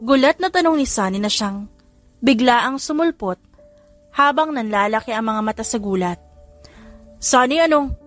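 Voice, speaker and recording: A woman's voice: a little high, lively intonation, moderate pace. A woman reading a scripted audiobook story as its narrator. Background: faint music.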